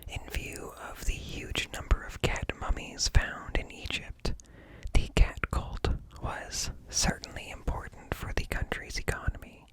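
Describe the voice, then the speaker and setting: A man reading informational text in a slow, hushed, breathy whisper for ASMR, close to the microphone. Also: poor audio. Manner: slow, deliberate, hushed, broken into short phrases. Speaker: ASMR host. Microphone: close ear-to-ear microphone.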